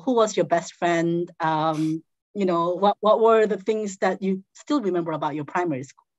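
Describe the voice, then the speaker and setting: Woman calmly leading an online game. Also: lowish voice, no room sound. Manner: patient, explaining step by step with soft laughs. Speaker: game facilitator. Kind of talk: casual guiding of an online game. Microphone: video call. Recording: dull, dry video call audio.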